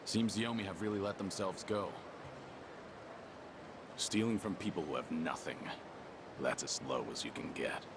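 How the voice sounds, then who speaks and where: An actor playing a calm, menacing middle-aged ex-yakuza vigilante in a video game, terse and intimidating. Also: male voice, deep voice, normal speed, long pauses, background noise, close to an everyday voice. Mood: stern, threatening. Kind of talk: dramatic video game voice acting. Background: music.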